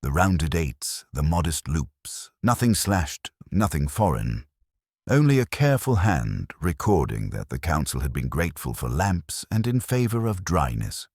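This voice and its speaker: Very deep-voiced man narrating. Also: sing-song, a little slow, darkish tone, slightly rough, no room sound, studio quality. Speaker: audiobook narrator. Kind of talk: scripted literary narration of a detective story.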